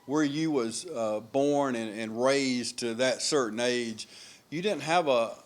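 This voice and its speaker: A man's voice: medium pitch, slow pace. Male local TV host, folksy and chatty, easygoing questions. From Dublin, US. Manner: folksy, rambling, affirming. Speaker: TV interviewer. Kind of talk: local TV interview.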